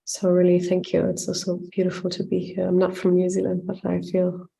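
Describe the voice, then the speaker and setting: Woman guest from Lebanon and Germany, low dark gravelly voice, soft reflective chat. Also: completely flat delivery, fairly quick.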